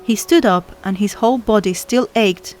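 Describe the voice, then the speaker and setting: A woman's voice: medium pitch, normal speed, a little mellow. A woman reading a children's story aloud as scripted narration, as an audiobook narrator does. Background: music.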